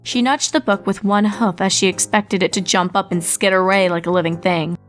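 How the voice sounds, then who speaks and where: A woman reading a fan story aloud as narrator, with steady storytelling narration. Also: medium pitch, normal speed, soft background music. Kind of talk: fanfic audiobook reading.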